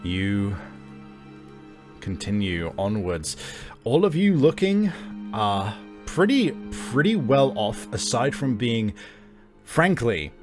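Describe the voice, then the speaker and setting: A man narrating a tabletop game as game master, in halting, deliberate phrases. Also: medium pitch, sing-song, soft background music.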